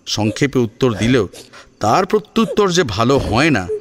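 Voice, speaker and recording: Casting: male storyteller, medium pitch, animated delivery, scripted serial narration over music. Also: moderate pace, a crowd in the background. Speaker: narrator and voice actor.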